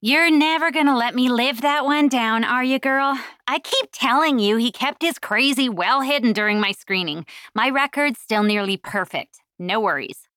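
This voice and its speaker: A brassy, teasing woman who works as a phone dispatcher, played by a female audiobook narrator. She is sassy and pitched fairly high, with a dry, close sound. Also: moderate pace, hardly any pauses, bright, forceful tone, no room sound. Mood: playful, reassuring. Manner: sassy, exclaiming.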